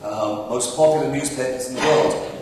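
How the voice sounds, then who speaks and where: A man's voice: medium pitch, moderate pace, recorded from a distance, a little hiss or hum. Man lecturing in an echoey hall. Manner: loud, energetic presenter with fillers. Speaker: conference speaker. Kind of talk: conference talk on search. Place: large conference room. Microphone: distant, mic trouble.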